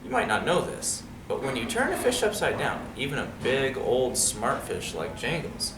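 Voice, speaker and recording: Guy reading a kids story out loud, really dramatic, echoey room with noise behind him. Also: mid-range voice, normal speed. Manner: expressive, dramatic. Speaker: storybook reader. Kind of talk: animated read-aloud story with asides to children. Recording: roomy, distant mic, noisy background.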